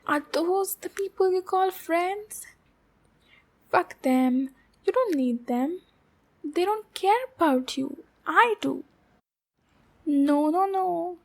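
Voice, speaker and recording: A woman plays a possessive demon girl in an intimate ASMR roleplay. Her voice is high and slightly creaky, and she speaks slowly. She coos to the listener with clingy sweetness and an undertone of threat. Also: animated, exaggerated voice. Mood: hurt, pleading, then defensive, upset.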